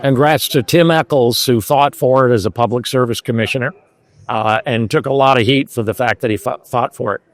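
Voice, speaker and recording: A man's voice: mid-range voice, brisk. A man from Scotland hosting interviews at a trade show, chatty and conversational with hesitant fillers.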